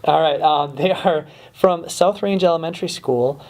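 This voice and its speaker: A man's voice: a little high, normal speed, darkish tone. A man hosting a weekly interview series, chatty and casual, with lots of fillers and restarts. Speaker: host.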